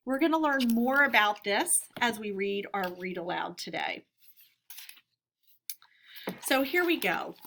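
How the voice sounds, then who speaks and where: A woman with an American accent and a fairly high voice speaks as an elementary teacher. She guides children through a read-aloud lesson with simple, clearly phrased questions and explanations. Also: a bit bright.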